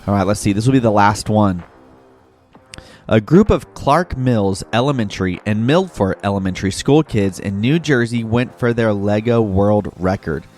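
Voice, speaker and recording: A man's voice: fairly low pitch, darkish tone. Encouraging male kids' podcast host over music. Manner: encouraging, addresses young listeners directly. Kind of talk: scripted narration and game hosting for children. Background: background music.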